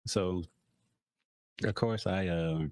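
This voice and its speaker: A man's voice: sits low, drawn-out words, a little mellow, no echo. A man talking casually as a podcast host, nasal and congested from a cold, rambling with fillers and restarts. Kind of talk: casual solo talk.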